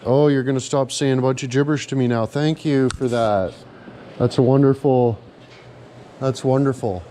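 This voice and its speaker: A man with a mellow, relaxed voice banters in a sarcastic, needling way. He sounds casual, recorded indoors in a garage with faint background noise. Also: mid-range voice, normal speed. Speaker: prank-playing vlogger. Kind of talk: casual mocking banter with a caller.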